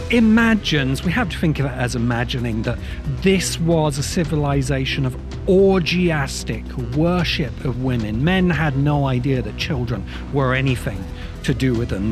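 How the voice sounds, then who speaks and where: A man hosting a talk on ideas, speaking in an essay-like, explanatory style with measured, deliberate phrasing in short bursts. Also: medium pitch. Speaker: host of a science fiction ideas show.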